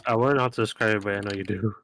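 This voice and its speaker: Low-voiced man guest with a dry, deadpan, mock-dramatic manner. Casual chat. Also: racing, a little hiss or hum.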